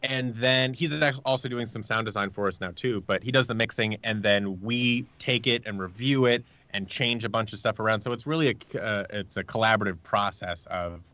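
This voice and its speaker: A man's voice: on the low side, brisk, few pauses, sharp tone, no room sound, lo-fi sound. A man talking casually as an interview guest, an audio drama producer who tells stories by acting out the exchanges. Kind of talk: casual chat.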